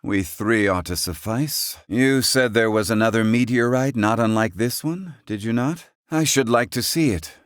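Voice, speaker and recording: A man playing a gruff, proud, learned older inventor in a fantasy video game, speaking in curt, haughty, archaic and erudite phrasing. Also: mid-range voice, moderate pace, hardly any pauses, dry recording, clean studio sound, close to an everyday voice. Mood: curt, businesslike. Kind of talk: video game character dialogue.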